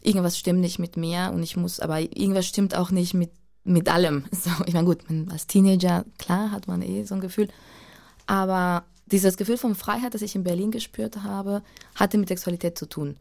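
A woman's voice: fairly low pitch, steady tone, moderate pace, gravelly, warm tone. A woman in her thirties from Spain, a native Spanish speaker, talking reflectively and casually as an interview guest in loose, searching phrases. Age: 30s. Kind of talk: casual conversational interview, personal storytelling.

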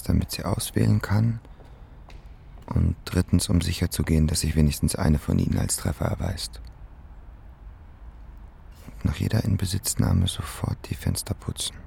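An actor playing a pensive, earnest young man in a radio drama, speaking in an introspective, halting way. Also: low voice, gravelly, warm tone, a little hiss or hum, heavily acted.

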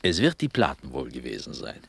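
An actor playing a dazed, dreamy young Prussian prince in a verse radio drama, fervent and halting, with breathy speech drifting into whispers. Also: male voice, extremely low pitch, wildly swooping pitch, dry recording, full character voice. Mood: rapturous. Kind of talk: classical German stage verse radio drama.

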